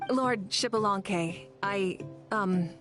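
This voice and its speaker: A woman playing a courteous young leader and warrior deity in an animated game, polite, earnest and measured. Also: mid-range voice, music in the background. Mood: courteous, hesitant.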